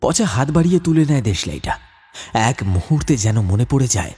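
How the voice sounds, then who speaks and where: A man's voice: mid-range voice, sing-song, a little fast, a little mellow. A man telling a scripted horror story as a dramatic narrator. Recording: clear background noise, ambience.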